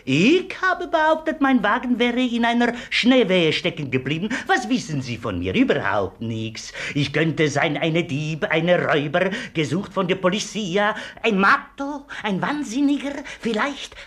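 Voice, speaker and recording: An actor playing a sly, theatrical Italian hotel guest with a husky voice and an Italian accent in a 1950s radio play. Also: male voice, sits high, expressive, measured pace, few pauses, a bit bright, heavily acted. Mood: sly, menacing. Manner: flamboyant, insinuating, mixes in Italian words.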